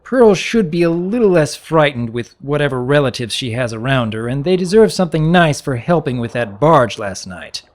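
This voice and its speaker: A man as an earnest pony baron, lively pitch. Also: normal speed, hardly any pauses, close to an everyday voice. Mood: practical, musing. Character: young male pony baron, earnest, awkward, well-mannered.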